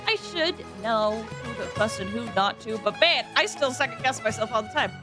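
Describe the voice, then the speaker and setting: High-voiced, animated woman game streamer. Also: normal speed. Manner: chatty, playful, reads game text aloud. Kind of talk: casual live gameplay commentary. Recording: game music behind the voice. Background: game music.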